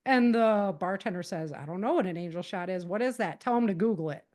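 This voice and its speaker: A woman's voice: mid-range voice, a little fast, a little mellow. A woman speaking as a podcast guest, giving practical advice in a casual, conversational way and explaining things with animation.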